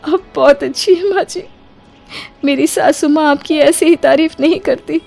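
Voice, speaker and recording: Casting: actress as struggling young mother in a moral story, high voice with heavy creak, polite and plaintive. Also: normal speed, darkish tone, soft background music. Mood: moved, grateful. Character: distressed, humble young mother fallen on hard times. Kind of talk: acted Hindi moral story dialogue.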